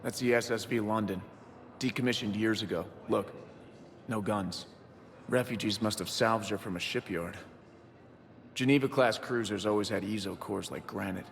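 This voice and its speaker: An actor playing a grieving, loyal military pilot in a sci-fi game, reflective, subdued and sincere. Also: male voice, on the low side, normal speed, vocal fry, long reverb tail. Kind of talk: video game voice acting.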